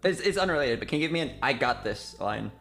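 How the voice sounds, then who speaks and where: A young man in his twenties talks casually, like a streamer coaching someone through a recording. His pitch sits in the middle of the range, and music plays softly underneath. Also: lively intonation, quick pace, creaky. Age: 20s. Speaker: streamer directing a voice recording session. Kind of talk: casual chat, giving directions. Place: indoor streaming room.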